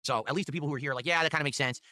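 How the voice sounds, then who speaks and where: A man's voice: racing, sharp tone, dry recording. A man hosting his own stream, chatting casually and hyping up viewers for support. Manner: upbeat hype pitching for tips. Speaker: streamer host.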